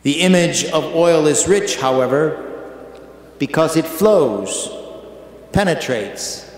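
An older American man, an archbishop, reading a homily in a measured, solemn way with long pauses in a large church. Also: a little high, animated. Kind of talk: slow read homily. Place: large shrine church, indoors. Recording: echoey church with long reverb.

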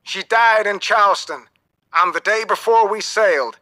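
Man acting a high, forceful ship captain. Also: moderate pace. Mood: grave, explaining. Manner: emphatic, short urgent orders. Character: commanding male ship captain, urgent and grave. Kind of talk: audiobook character dialogue.

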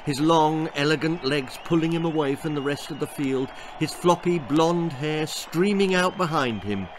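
Slow-paced older English storyteller. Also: male voice, medium pitch, noisy background. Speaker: author reading own children's stories. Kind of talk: dramatic storybook narration. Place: small book-lined room indoors.

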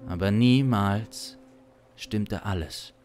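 Man in his thirties, very slow, deliberate dramatic storytelling, long pauses, studio mic, music behind. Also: on the low side, darkish tone. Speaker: improv audio drama performer. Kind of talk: improvised dramatic narration. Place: small indoor studio. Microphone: close studio microphone with pop filter. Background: musical backing.

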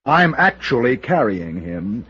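A man's voice: mid-range voice, sing-song, a little slow, a bit bright. An actor playing a vain, self-pitying male comedy star under threat, in old-time radio drama style. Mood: defensive. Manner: hesitant, pleading, then boastful. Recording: dull vintage radio recording.

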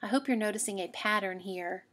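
A woman's voice: mid-range voice, a little fast, a bit bright. A woman teacher reading a picture book aloud to young children, explaining patiently and checking in with questions.

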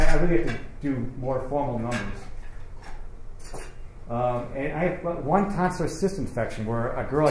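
A middle-aged man giving an informal, chatty talk to an audience as a surgeon, with frequent restarts. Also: medium pitch. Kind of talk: informal conference lecture. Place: hotel banquet room with seated audience. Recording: echoey room, faint ambient noise.

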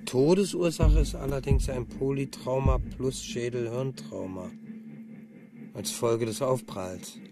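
Ein Schauspieler spielt in einem Radiokrimi einen sachlichen, gründlichen Kommissar, knapp und nüchtern im Ton. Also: male voice, mid-range voice, a little slow, close to an everyday voice. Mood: matter-of-fact. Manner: clipped, matter-of-fact. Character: sober, thorough male police detective reading case facts. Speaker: police detective in a radio crime drama. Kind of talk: naturalistic German radio drama acting.

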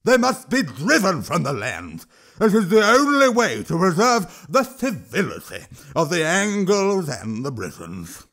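A man voicing a boastful, bigoted male troll minister who jeers and shouts over a crowd, his pitch pushed up and his timbre changed. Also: wildly swooping pitch, a little slow, heavily acted. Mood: ranting.

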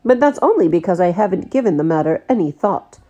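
A woman voicing a calm, proper male butler who speaks in a composed, dry, formal way. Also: fairly low pitch, a little fast, highs cut off.

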